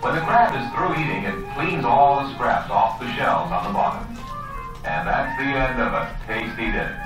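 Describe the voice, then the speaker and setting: A man narrates an educational nature film in a lively, varied delivery, explaining each point straight to the viewer. His voice comes through very echoey and distant, with clear music behind it. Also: mid-range voice, moderate pace. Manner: explanatory, addresses the viewer directly.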